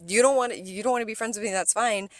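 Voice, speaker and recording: An American woman co-hosting a casual podcast, telling stories in an animated, sweary way and acting out conversations. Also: medium pitch, rapid-fire, no echo. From California, United States. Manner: animated, sweary, quotes conversations in acted voices. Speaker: podcast co-host, restaurant server. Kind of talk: casual storytelling chat.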